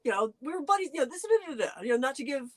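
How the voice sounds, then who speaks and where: A middle-aged co-host chatting casually, telling stories earnestly with lively jumps in pitch and frequent restarts. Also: rapid-fire. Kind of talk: casual conversational chat.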